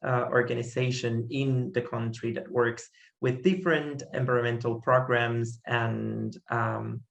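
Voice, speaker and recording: A young man with a Guatemalan Spanish accent and a fry-edged voice talks through a presentation at a middle pitch. He sounds friendly and earnest, and he fills his speech with ums and restarts. The video call audio has a noticeable room echo. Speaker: conservation organization founder. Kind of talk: online presentation talk.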